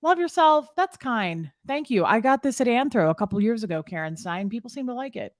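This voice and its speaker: A woman's voice: on the high side, expressive, a little fast, no room sound, studio quality. A woman, co-hosting a livestream, chatting playfully and greeting viewers. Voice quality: slightly raspy. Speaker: livestream co-host. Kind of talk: casual chat reading out viewer names.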